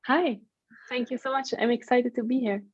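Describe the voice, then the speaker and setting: A woman's voice: a little high, steady tone, normal speed, husky. A woman from Germany in her thirties with a German accent, talking conversationally about herself as a podcast guest. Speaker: podcast guest, burnout coach. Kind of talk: conversational interview answers, telling own story. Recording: roomy, slightly dull lo-fi sound.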